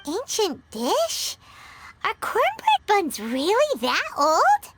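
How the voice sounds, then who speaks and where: Squeaky, very high childlike sprite girl. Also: huge pitch swings, slow pace, noisy background, heavily acted. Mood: puzzled. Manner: exclamatory, questioning, reacts bluntly. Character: small childlike sprite girl companion, excitable and nosy. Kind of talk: scripted game voice acting.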